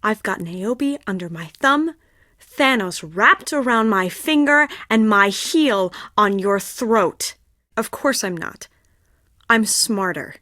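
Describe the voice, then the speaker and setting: A scheming game villainess, cold and smug. She speaks slowly and deliberately in a medium-pitched, close, dry voice full of menace. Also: lively intonation, no room sound. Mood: gloating. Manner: slow, deliberate, smug, breathy hushes. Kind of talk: dramatic video game voice acting.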